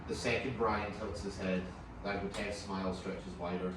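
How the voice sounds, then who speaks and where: Male narrator for screenplay table read, deep register, distant and noisy recording. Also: steady tone, normal speed. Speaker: narrator reading stage directions. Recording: distant echoey room, clear background noise.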